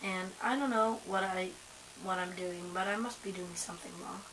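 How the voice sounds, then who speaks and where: A young woman with a hoarse voice from a cold, chatting casually to camera at home, rambling with lots of ums and likes. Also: medium pitch, fairly even intonation, normal speed, a bit bright, a little hiss or hum, poor audio. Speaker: vlogger.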